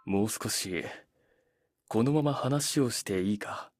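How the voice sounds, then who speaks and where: A man's voice: fairly low pitch, normal speed, natural voice. An actor playing a reserved male demon in an anime-style drama, speaking earnestly and intimately. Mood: tender, confiding. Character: reserved male demon confiding tenderly in a human.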